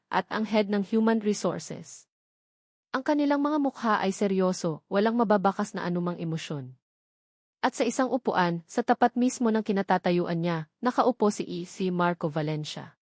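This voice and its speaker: A woman with a Filipino accent narrates a dramatic story. Her voice sits at a middle pitch for a woman. She reads from a script as a storyteller, and the recording is dry, with hardly any room sound. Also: darkish tone, dry recording.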